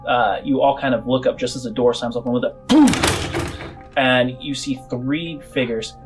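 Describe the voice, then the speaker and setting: A man's voice: medium pitch, fairly even intonation, moderate pace, music in the background. Man narrating as game master. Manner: uses spoken sound effects. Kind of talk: improvised narration of a tabletop game.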